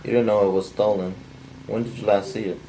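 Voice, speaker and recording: Man acting formal policeman, dark gravelly voice, monotone, halting table read, slight background noise. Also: fairly low pitch, lightly acted, poor audio. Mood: businesslike. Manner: flat, halting amateur reading. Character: formal, matter-of-fact policeman in his late thirties. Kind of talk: cold table read of a film script.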